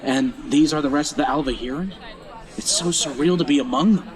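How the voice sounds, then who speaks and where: Young male apprentice, acted by a man: fairly high voice, earnest, tentative, full of wonder, fantasy audio drama. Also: a little fast, some chatter behind, lightly acted. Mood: awed.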